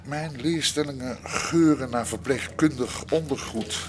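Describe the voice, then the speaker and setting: An actor playing a boastful, messianic convict who preaches grandly and talks about himself by name in a radio play. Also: male voice, medium pitch, unhurried, close to an everyday voice. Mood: haughty, preaching. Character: burn-scarred, boastful, messianic male prisoner with fascist leanings. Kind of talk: Dutch radio play acting. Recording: noisy radio drama recording.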